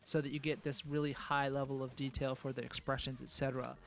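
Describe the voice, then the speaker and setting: A man giving a live product presentation as a game producer, speaking in a relaxed way full of "uh"s. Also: fast, gravelly, a little hiss or hum. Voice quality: calls his own voice high. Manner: relaxed, filler-heavy speech with many "uh"s.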